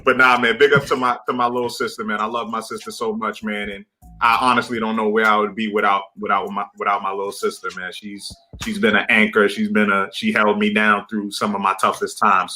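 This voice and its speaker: Relaxed man giving heartfelt shout-outs. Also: on the low side, brisk, soft background music. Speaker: guest. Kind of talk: casual chat.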